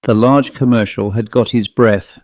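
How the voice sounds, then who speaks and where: A man's voice: lowish voice, steady tone, normal speed, a little mellow, no room sound, highs cut off. A man reading a short story aloud as a scripted audiobook narrator.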